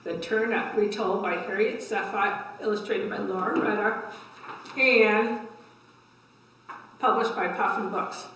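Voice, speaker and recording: A woman's voice: mid-range voice, unhurried, long pauses, fairly bright tone, slight background noise. An older woman hosting a story time, reading picture books aloud to young children with simple asides and questions. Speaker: library story time host. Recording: echoey room, distant microphone.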